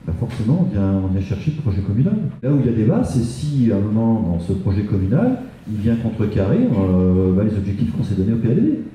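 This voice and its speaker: A man with a low, very dark voice explains his view in an interview. His delivery is halting and spontaneous, heard in a room full of echo. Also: big pitch swings. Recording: very echoey room, faint background noise.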